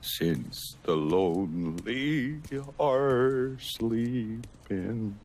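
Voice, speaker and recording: A man's voice: on the low side, lively intonation, drawn-out words, a little mellow, a little hiss or hum. An actor playing a solemn man reciting mournful verse in a video game, drawing out the lines. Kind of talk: poetic recitation in a game cutscene.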